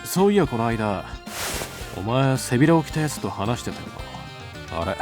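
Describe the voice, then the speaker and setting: A man playing an aloof, gruff high school boy with curt, rough speech and a bashful streak, in an anime-style voice drama. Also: very animated, normal speed, music in the background, lightly acted. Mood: casual, aloof.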